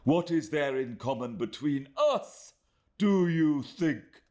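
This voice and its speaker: Contemptuous haughty woman, slow drawn-out sneer, somewhat raised voice as a male reader acts her. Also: on the high side, lively intonation, mellow, pitched above the performer's own voice. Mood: scornful. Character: cold scornful proud woman, cruel and contemptuous.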